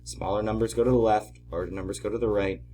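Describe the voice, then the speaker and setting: A man teaching in an informal tutorial style, patiently explaining step by step, his voice slightly hoarse from a cold. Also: lowish voice, fairly even intonation, fast, dark tone, a little hiss or hum. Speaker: math teacher. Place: at home, at a desk.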